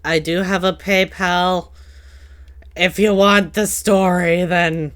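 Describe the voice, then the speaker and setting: A woman's voice: lowish voice, fairly even intonation, slow, a bit bright. A woman hosting a casual podcast chat in a playful, teasing, rambling way. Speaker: podcast host.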